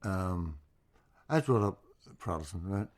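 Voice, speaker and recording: Blunt, very deep-voiced man. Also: animated, a little slow, a little mellow. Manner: blunt and anecdotal, swears freely. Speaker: podcast host. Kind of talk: casual conversation.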